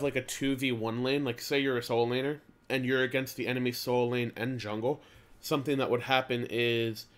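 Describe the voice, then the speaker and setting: A young man with a nasal, stuffed-up voice from allergies, casually running through game patch notes as the host, loose and slangy while he reads. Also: medium pitch. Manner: loose, slangy, talks while reading text. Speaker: gaming video host.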